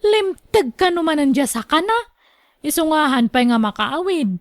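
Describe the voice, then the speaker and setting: Woman acting fiery young wife, Ilocano Filipino accent, high-pitched, dry studio sound, radio drama. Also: sing-song, normal speed, dry recording. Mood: explaining.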